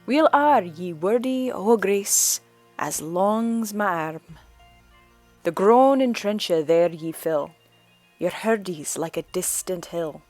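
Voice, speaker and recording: An American woman reciting an old Scots poem in a put-on Scottish accent, slow and declamatory. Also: mid-range voice, expressive, a little mellow, no echo, faint music behind. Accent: American woman attempting a Scots accent. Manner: slow, deliberate, declamatory reading. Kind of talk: poetry recitation.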